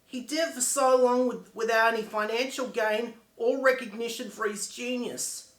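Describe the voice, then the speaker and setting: A man's voice: very high voice, steady tone, moderate pace, a bit bright. A man playing a grieving, disappointed friend in an earnest, reflective dramatic monologue. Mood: sorrowful, disappointed. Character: grieving friend who believed in a failed musician. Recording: echoey room.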